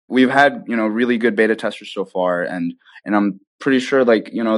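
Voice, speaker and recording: A man speaking as an interview guest about his work, in an earnest, informal way with frequent "you know"s. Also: on the low side. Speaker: guest, app developer. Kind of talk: casual interview conversation.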